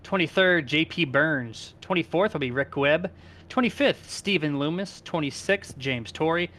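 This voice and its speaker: A man's voice: on the high side, moderate pace, pushed and bright. A man doing live play-by-play commentary on a sim race in a casual, conversational manner.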